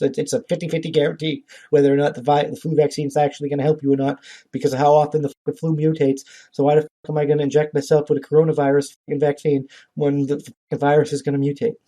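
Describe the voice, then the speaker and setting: A man speaks as a podcast host in a somewhat higher-than-average voice with a relaxed, dark-edged tone. His commentary is informal and political, blunt and full of profanity. He sounds exasperated and indignant as he makes his case.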